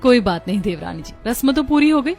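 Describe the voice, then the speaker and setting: A woman's voice: fairly high pitch, very animated, a little fast, gravelly, a little mellow, faint music behind. A woman playing polite, homely young Indian daughters-in-law in a cartoon family story.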